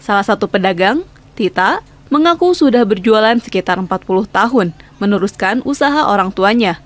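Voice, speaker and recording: A woman's voice: mid-range voice, expressive, normal speed. Woman narrating a scripted news report.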